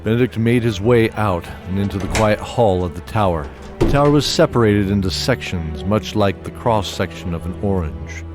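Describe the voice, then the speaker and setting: A man narrating a fantasy audio drama in a lowish voice, delivering steady, descriptive scripted storytelling. Music plays clearly beneath him. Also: normal speed, few pauses.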